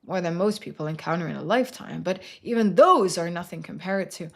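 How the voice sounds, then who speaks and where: A woman voicing a gentle, devout male small-town pastor in a video game, earnest and a little hesitant. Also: fairly low pitch, animated, fairly quick, creaky.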